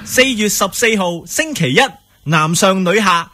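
A man with a Hong Kong Cantonese accent, making a drawn-out, dramatic radio segment announcement. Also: sits high, lively intonation, loud music behind the voice. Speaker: programme announcer.

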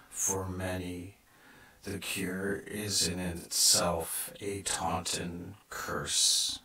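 A man narrating a scripted guided meditation in a measured, deliberate and solemn manner. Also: deep voice, bright, forceful tone. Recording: echoing, distant from the mic.

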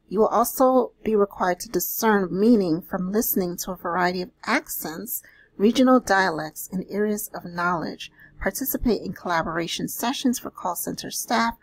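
A woman doing an explanatory voice-over, reading out and paraphrasing listings in a deliberate, instructional manner with small check-ins. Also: medium pitch, no echo, rough recording. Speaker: host of a job-leads channel.

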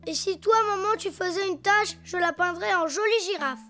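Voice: very high voice, normal speed, faint music behind, heavily acted. A performer voicing a cheerful, imaginative little boy donkey in a children's cartoon, chatty and childlike. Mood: excited, proud. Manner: childlike, talking to himself, expressive.

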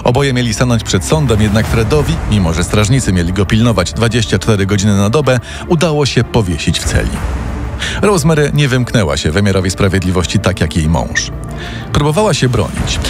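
A man's voice: fairly low pitch, animated, a little fast. A man reading a scripted true-crime story as a podcast narrator, recorded as a studio voice-over. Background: music.

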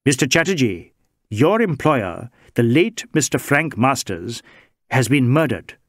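A man voicing a stern male police inspector who presses questions sharply and deliberately. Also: mid-range voice, sing-song, no room sound, studio quality.

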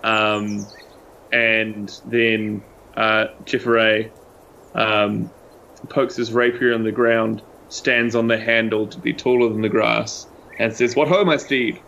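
A man's voice: lowish voice, completely flat delivery, slow, gravelly, bright, forceful tone, muffled recording, slight background noise. A man with a New Zealand accent chatting and narrating casually as a player in a tabletop game. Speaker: tabletop role-playing player.

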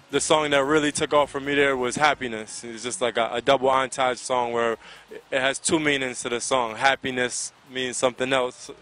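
Bright-voiced American man in casual interview. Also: medium pitch. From Connecticut, United States. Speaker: soca singer being interviewed. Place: outdoors by a riverside. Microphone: handheld microphone held close to the mouth.

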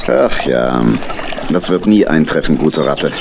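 Deep, creaky old badger uncle. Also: male voice, sing-song, measured pace, exaggerated voice. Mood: doubtful. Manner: muttering to himself, hesitant. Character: old male badger uncle, good-hearted, modest, grumbling homebody. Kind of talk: children's radio play acting. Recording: noisy vintage record.

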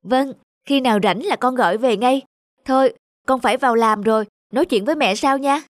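A woman voicing a modest, affectionate young working daughter in a cartoon, polite and soft-mannered. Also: fairly high pitch, brisk, no echo, clean studio sound, lightly acted. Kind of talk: cartoon dubbing.

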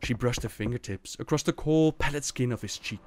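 A man's voice: mid-range voice, fairly quick. A man narrating fantasy tales as a host, in a dramatic storybook style.

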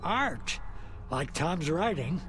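An actor playing a grandiose, cryptic old Viking rocker with a slow, theatrical delivery in a video game. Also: male voice, fairly high pitch, big pitch swings, a bit bright, slight background noise, exaggerated voice. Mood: proud, cryptic. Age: older. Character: old male rock-and-roll Viking seer, grandiose, cryptic, foul-mouthed. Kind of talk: dramatic video game acting.

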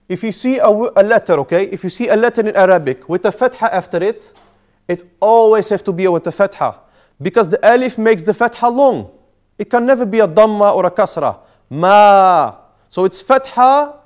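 A man in his thirties teaching a language class in a small classroom, patiently repeating and explaining each word. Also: sits high. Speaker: Arabic teacher. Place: small indoor classroom with whiteboard.

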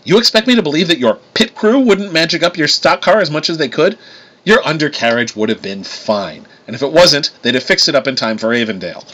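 Man voicing a sardonic, animated woman. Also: a little high, a little fast, voice pushed up. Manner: sardonic, blunt, sweary. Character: sharp-tongued sarcastic woman, self-described fake goddess.

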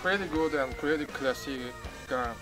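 A young man from Turkey with a Turkish accent, speaking halting English outdoors and laughing his way through retakes of a gun-safety demo. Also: on the high side, loud music behind the voice, poor audio. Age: young adult. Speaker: first-time shooter presenting a gun. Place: outdoors in a gravel field by a truck. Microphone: camera mic from a few meters.

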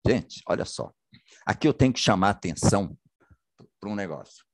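A man's voice: fairly low pitch, expressive, normal speed, no echo. A man presenting over a video call, chatty and full of checking questions as he walks through a plan. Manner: chatty, many checking tags and rhetorical questions. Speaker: business presenter. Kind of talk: presentation over shared slides. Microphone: computer mic on a video call.

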